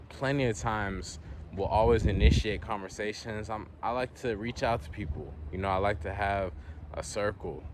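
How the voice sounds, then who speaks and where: A man's voice: on the low side, slight background noise. A man from the Southern US with a Southern accent, rambling in an unscripted monologue and saying "you know" a lot. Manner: rambling, frequent "you know", laid-back. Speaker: vlogger.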